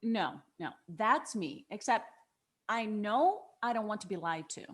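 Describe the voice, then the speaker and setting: A woman's voice: mid-range voice, lively intonation, unhurried, husky. An actress playing a brash, rebellious twenty-one-year-old woman in a table read, flippant and defiant. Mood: bitter.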